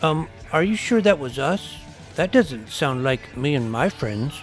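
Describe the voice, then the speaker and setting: An actor playing an eager, suspicious, jumpy twelve-year-old boy vampire hunter in an audio drama, with a creaky edge to the voice. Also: fairly high pitch, very animated, a little slow, faint music behind. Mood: nervous, defiant.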